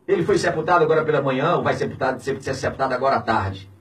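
A man in his fifties with a Brazilian accent, a pastor speaking out in an indignant, preaching tone on the radio, swinging between shouts and low, heavy words. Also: rapid-fire, far from the mic. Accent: Brazilian Portuguese. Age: 50s. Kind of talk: impassioned radio commentary. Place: small radio studio. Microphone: studio mic on a boom, filmed from a distance.

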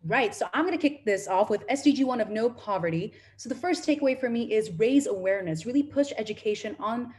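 A woman's voice: on the high side, animated, fast, hardly any pauses, a little mellow. Young woman summing up on a call. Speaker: community builder. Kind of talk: video call intro and summary.

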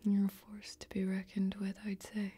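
A young woman playing a kindly, protective fantasy warrior in a soft-spoken ASMR role-play, gentle, whispery, folksy and reassuring, close to the microphone. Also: medium pitch, completely flat delivery, moderate pace, breathy edge, faint music behind. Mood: admiring, tender. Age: young adult.

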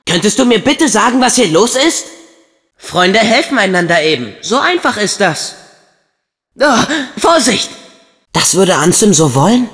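Expressive, earnest teenage boy hero. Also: high voice, measured pace, breathy edge, in a large hall, heavily acted. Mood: excited, urgent. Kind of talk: video game voice acting, German dub.

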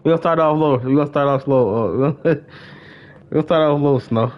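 A man's voice: fairly high pitch, fairly quick, highs cut off. A man livestreaming a game, chatting casually in slang and often repeating his phrases. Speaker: livestreamer and gamer. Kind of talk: casual gaming livestream banter.

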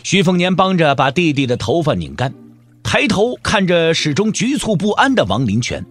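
A man's voice: on the high side, big pitch swings, normal speed. A man narrating a dramatic scripted audio drama as storyteller, over music.

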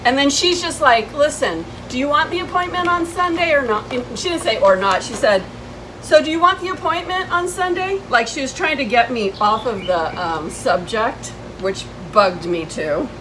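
A woman's voice: sits high, animated, normal speed, fairly bright tone. A woman in her fifties chatting casually to camera, telling a personal story in an indignant, emphatic way, recorded outdoors on a porch. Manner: indignant, emphatic, retelling exchanges. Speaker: vlogger. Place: covered porch outside a house. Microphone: camera a few steps away. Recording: clearly noisy outdoor ambience.

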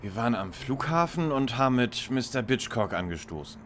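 A man's voice: medium pitch, sing-song, normal speed, a bit bright, a little hiss or hum. A man playing a bossy, analytical young lead detective in a parody audio drama. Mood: groggy, matter-of-fact.

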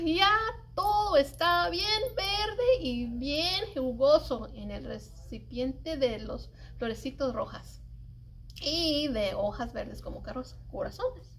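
A middle-aged woman reading a children's poem aloud in an expressive, singsong, deliberate way, as a story-time librarian. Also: high-pitched, a little hiss or hum, poor audio. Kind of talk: animated read-aloud of a children's cooking poem. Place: indoors, public library children's area.

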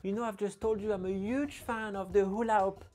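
A man's voice: high-pitched, fairly even intonation, a little fast, darkish tone, lightly acted. A young man playing a touchy, dramatic, indignant girlfriend on a date in a comedy skit.

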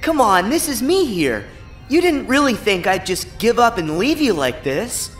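A man's voice: high voice, very animated, a little slow, faint music behind. A man playing a plucky, stubborn young boy hero in a game cutscene, earnest, eager and optimistic. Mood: determined, upbeat. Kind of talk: video game cutscene acting.

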